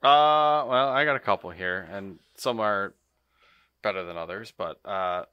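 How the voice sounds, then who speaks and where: A man's voice: on the low side, measured pace, smooth, no echo, muffled recording. A man co-hosting a podcast, chatting casually and informally. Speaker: podcast co-host.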